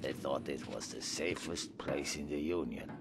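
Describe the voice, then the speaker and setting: An actor playing a solemn, protective older desert guide in a video game, speaking like a philosophical storyteller with a Russian accent. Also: male voice, extremely low pitch, normal speed, creaky, heavy background noise. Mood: wary. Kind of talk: video game character dialogue. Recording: loud music under the voice.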